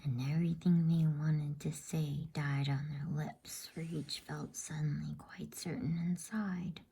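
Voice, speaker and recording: A woman reads a bedtime story as ASMR narration in a soft whisper. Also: low voice, steady tone, a little slow, gravelly, breathy edge.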